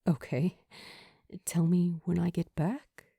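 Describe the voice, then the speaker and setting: A woman voicing an earnest, teasing young man, a lovestruck ghost, in breathy, tender audiobook dialogue. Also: on the low side, fairly even intonation, slow, warm tone, no room sound, studio quality, full character voice. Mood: playful. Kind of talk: acted fan fiction audiobook dialogue.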